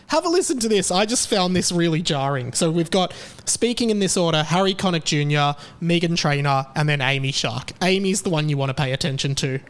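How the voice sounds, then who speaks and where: A young Australian man talks through his opinions on his own commentary show. His voice sits a little high, and his delivery is chatty and sarcastic. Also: animated, a little fast, smooth. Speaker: podcast host. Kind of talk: casual commentary monologue.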